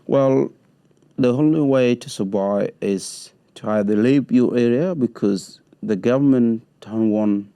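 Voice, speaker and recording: A man from Sudan, answering interview questions in a measured, halting way with grammar. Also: medium pitch, warm tone, clean tone, no room sound. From South Sudan. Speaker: guest, community leader.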